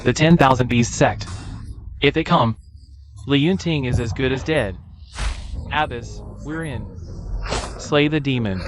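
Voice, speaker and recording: A man playing a grave, humble and deferential sect follower in a dubbed animated comic. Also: medium pitch, a little slow, long pauses, over music, lightly acted. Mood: grave, worried.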